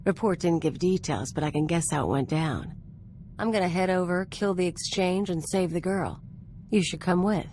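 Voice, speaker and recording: An actress plays a tough, streetwise outlaw companion in a video game. Her voice is fairly low, with a cocky, clipped, offhand delivery. A little noise sits in the background. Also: moderate pace, a little mellow, natural voice. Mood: determined, casual. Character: brash, streetwise female outlaw gunslinger companion. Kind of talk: conversational video game acting.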